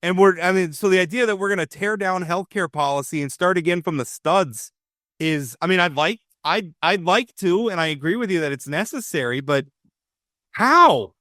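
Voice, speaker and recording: Casting: male co-host, talk show, emphatic, opinionated, slightly higher voice, dry studio mic. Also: animated, moderate pace, sharp tone, dry recording. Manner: opinionated, emphatic, many restarts. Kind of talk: political talk show discussion.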